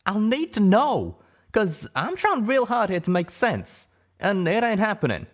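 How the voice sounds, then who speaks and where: A man's voice: sits high, huge pitch swings, unhurried, exaggerated voice. A man voicing a distraught male pegasus pony in an audio story, insistent and folksy. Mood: upset, demanding.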